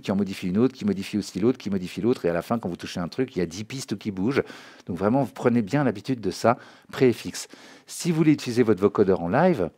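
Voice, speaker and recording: Low-voiced man teaching music software. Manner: conversational, checks understanding often with short tag questions. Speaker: music software trainer, tutorial host. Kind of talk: informal spoken tutorial, demonstrating while explaining. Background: music and synth demo sounds.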